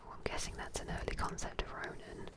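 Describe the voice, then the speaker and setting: A woman in a soft, breathy, unvoiced whisper, giving gentle, chatty, admiring ASMR commentary at an unhurried pace. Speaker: ASMR host. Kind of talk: whispered ASMR commentary while leafing through an art book.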